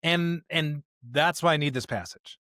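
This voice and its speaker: A man with an American accent hosting a podcast, talking reflectively about scripture and current events in a halting, searching way. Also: a little high, fairly bright tone, no echo, studio quality. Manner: halting, searching delivery with repeated words. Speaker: podcast host.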